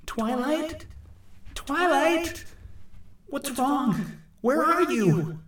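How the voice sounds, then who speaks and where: A man's voice: on the high side, unhurried, vocal fry, pitched above the performer's own voice. A man voicing an anxious, tearful young male dragon with a hesitant, pleading manner in an audio story. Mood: frightened.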